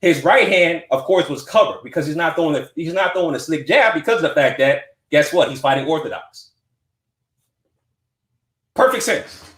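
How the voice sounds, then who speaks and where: A man's voice: a little high, expressive, normal speed, a bit bright, roomy sound. A man in his forties hosting a livestream, arguing insistently and repeating himself over and over. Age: 40s. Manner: repetitive, insistent, talks over the caller. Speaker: live stream host. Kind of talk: heated argument on a livestream.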